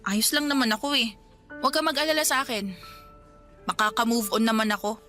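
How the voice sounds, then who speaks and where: Actress as a high-pitched young Filipina in love. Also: female voice, fairly quick, music in the background, close to an everyday voice. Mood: guarded, wistful. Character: young Filipina woman in love, guarded and anxious. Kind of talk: Tagalog radio romance drama acting.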